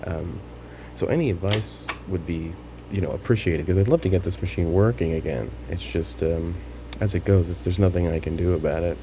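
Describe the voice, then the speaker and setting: Low-voiced man, halting, noisy recording. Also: mellow. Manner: tentative, halting explanations. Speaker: hobbyist demonstrating a tape machine repair. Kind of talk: unscripted casual demonstration to camera.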